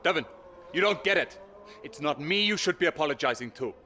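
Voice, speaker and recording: A man's voice: on the high side, lively intonation, fairly quick, bright, forceful tone, a little hiss or hum. An actor playing a principled, indignant male racing driver in a video game cutscene, earnest and reproachful.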